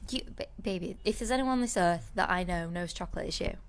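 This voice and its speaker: A woman's voice: lowish voice, quick pace. A woman co-hosting a podcast, telling a rambling story in casual chat, with many restarts. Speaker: podcast co-host.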